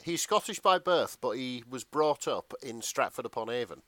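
Animated man bantering, dry close sound. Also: medium pitch, normal speed, dry recording. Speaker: podcast co-host. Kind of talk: casual comic banter.

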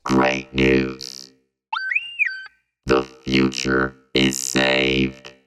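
A man playing a calm time-traveling robot in an audio drama, speaking in measured phrases through a synthetic voice effect. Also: very noisy, full character voice. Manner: measured, even, explanatory delivery. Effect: very deep synthetic robot voice processing. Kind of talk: hobby audio drama acting.

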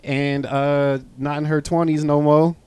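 A man's voice: fairly high pitch, little pitch movement, unhurried, warm tone, clear, resonant tone. Teasing man hosting a podcast. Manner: loose, teasing, profane. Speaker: podcast host. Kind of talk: casual podcast chat.

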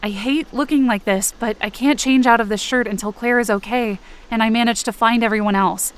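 Woman acting an anxious young camp counselor, higher-pitched. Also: steady tone, fairly quick, smooth, lightly acted. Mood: uneasy, tired. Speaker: actor narrating as a camp counselor. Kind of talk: first-person audio drama narration. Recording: rain and ambience behind the voice. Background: rain and outdoor ambience effects.

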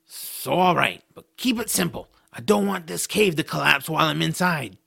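A man's voice: fairly high pitch, animated, normal speed, creaky, pushed and bright, slightly rough, full character voice. A man voicing a small, grumpy male troll in an audio drama, sarcastic, fretful and forever complaining. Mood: grudging.

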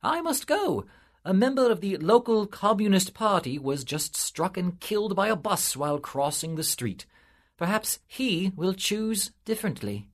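A man doing a weary, gentle angel. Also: sits high, voice pushed up, exaggerated voice. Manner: calm, measured, softly solemn. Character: weary, patient male angel, solemn and gentle.

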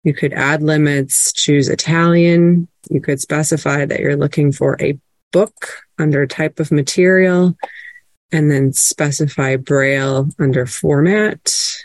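A woman's voice: low-pitched, fairly even intonation, a little slow, no room sound. A woman librarian presenting casually on an online call, chatty, with frequent fillers and restarts.

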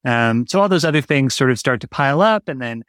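A man's voice: mid-range voice, lively intonation, fairly quick, fairly bright tone, dry recording, studio quality. A man speaking as an interview guest, a writer answering in a reflective, conversational way and searching for his words.

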